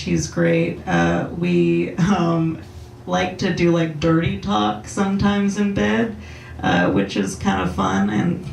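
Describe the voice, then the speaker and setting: Young woman comic, low gravelly deadpan voice, slow halting delivery, heard from afar in a roomy club. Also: a little hiss or hum. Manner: slow, deadpan, hesitant delivery with many ums. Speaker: stand-up comedian. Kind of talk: deadpan stand-up set. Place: comedy club stage with laughing audience. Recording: roomy club sound from a distance.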